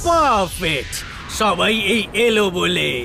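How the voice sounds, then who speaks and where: A man's voice: high voice, big pitch swings, takes it slowly, creaky, over loud music, full character voice. A man playing a boastful, theatrical shoe-thief villain in a dubbed cartoon, gloating and rhyming. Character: gloating comic shoe-thief villain who hypnotizes townsfolk.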